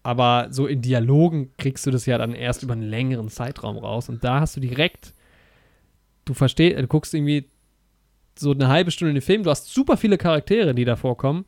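A man talks about a film in a loose, eager ramble, piling up fillers and restarting his sentences. His voice has a gravelly edge, and the recording is dry and close. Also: mid-range voice, brisk, a little mellow, dry recording. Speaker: podcast co-host. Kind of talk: casual film discussion.

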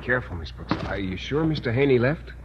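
A muffled, noisy vintage radio recording of an actor as a timid, earnest man. He speaks hesitantly and politely, his pitch moving about in nervous, innocent surprise. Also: expressive, fast talker, close to an everyday voice. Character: timid, earnest, mild-mannered man, innocent and easily alarmed. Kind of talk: 1940s-50s radio comedy acting. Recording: muffled old radio recording, clear noise.

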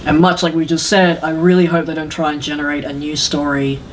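A man's voice: on the high side, level tone, brisk, a little hiss or hum. A man chatting casually as a podcast co-host, rambling with enthusiasm and some hesitation. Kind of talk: casual chat about games and films.

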